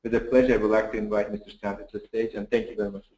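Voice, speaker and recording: A man's voice: on the low side, little pitch movement, a little mellow. Man formally introducing a speaker. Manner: formal, slightly halting reading. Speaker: event host introducing a speaker. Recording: echoey, dull room recording.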